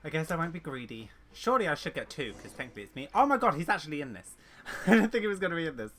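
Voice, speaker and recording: A woman's voice: deep voice, big pitch swings, very fast, a bit bright. A woman game streamer giving casual, playful play-along commentary, reacting aloud as she plays.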